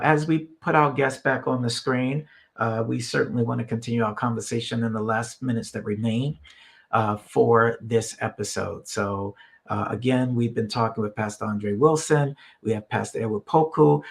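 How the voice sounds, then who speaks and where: A man's voice: medium pitch, normal speed, gravelly, a bit bright. A man hosting a religious talk show in a conversational way, feeling his way through meandering sentences. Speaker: host of a ministry live stream.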